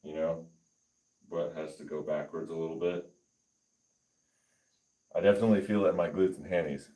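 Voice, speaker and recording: A man livestreaming his lifting session, chatting casually and haltingly with viewers and reading their comments aloud. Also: low-pitched, fairly even intonation, dark tone. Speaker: fitness livestreamer. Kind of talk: casual livestream chat while working out.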